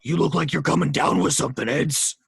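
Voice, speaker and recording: A man's voice: on the high side, fairly even intonation, brisk, creaky, a bit bright, slightly rough, dry recording, pitched above the performer's own voice, heavily acted. A sly, mocking clown monster, put on by a man reading a fan script. The voice is fairly dry and close. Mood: taunting. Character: menacing male clown monster taunting children.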